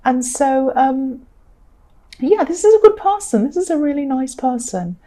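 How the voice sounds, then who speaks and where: A woman reading tarot cards to her viewers, her voice mellow and a little croaky. She talks gently and loosely, circling back on her phrases and pausing on "um" as she works out what she sees. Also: sits high, animated. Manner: gentle, repetitive, thinking aloud with fillers. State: slightly croaky. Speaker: tarot reader. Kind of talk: solo tarot reading to camera.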